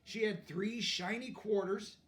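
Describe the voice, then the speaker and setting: High-voiced man reading aloud, slightly distant. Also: measured pace. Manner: animated, explains words and pictures to young listeners. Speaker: storyteller reading a picture book aloud. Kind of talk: expressive read-aloud narration with asides.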